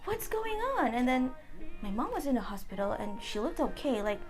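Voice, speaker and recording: A woman's voice: a little high, big pitch swings, fairly quick, over music. Giggly chatty woman from the Philippines. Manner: giggly, chatty, lots of fillers. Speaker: guest. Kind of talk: casual interview chat.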